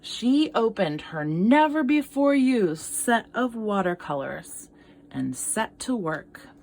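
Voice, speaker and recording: A woman art teacher reading a picture book aloud to children, expressively, pausing for asides and questions to young listeners. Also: a little high, very animated, a little mellow, slight background noise.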